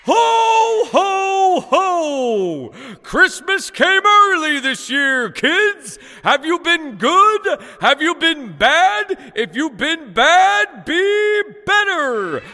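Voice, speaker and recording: A man's voice: extremely high pitch, very animated, very slow, sharp tone, voice pushed up, full character voice. A man voicing a boastful, jolly fake mall Santa, bellowing theatrical proclamations.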